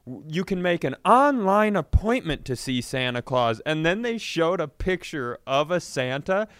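A man with a very clear, smooth voice, a little high in pitch, recorded dry and close. He co-hosts a comedy podcast, telling stories in a halting, casual way with some swearing. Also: sing-song, a bit bright, no echo. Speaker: podcast co-host.

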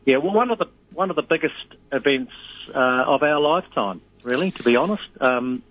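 A middle-aged man with a New Zealand accent, a radio host calling in as a guest, talking in a rambling, halting way with many fillers. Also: fairly high pitch, expressive, a bit bright, no echo. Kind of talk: casual radio chat. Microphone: phone line. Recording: tinny phone line.

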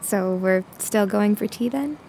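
An actress playing a young tarot-reading psychic who is confident and teasing. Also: female voice, mid-range voice, unhurried, vocal fry, darkish tone, a little hiss or hum. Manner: teasing, dry, self-assured. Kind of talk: comedic audio drama.

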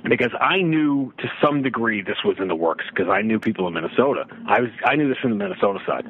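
A man talking sports casually as a broadcaster guest, telling stories and throwing out rhetorical questions in an animated way. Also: on the low side, fast, slightly rough. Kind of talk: casual sports radio discussion. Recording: roomy, thin phone-like radio line.

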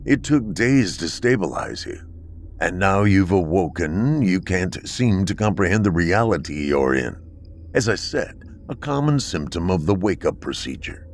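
A man voicing a calm, androgynous bunker dweller from the far future, speaking slowly and sternly. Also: deep voice, a little hiss or hum. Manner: slow, measured, stern.

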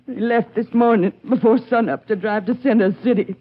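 An actress in a 1950s radio drama playing a distraught, guilt-ridden country wife, halting and rustic in speech. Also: female voice, fairly high pitch, natural voice. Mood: weary, guilt-ridden. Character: distraught guilt-ridden country wife confessing against her murderous husband. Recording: dull, hissy, clicky old radio recording.

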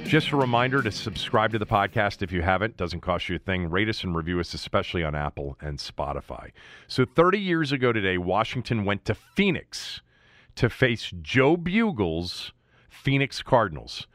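A man's voice: fairly low pitch, big pitch swings, normal speed, a bit bright, no echo, clean studio sound. An American man hosting a sports podcast, talking casually.

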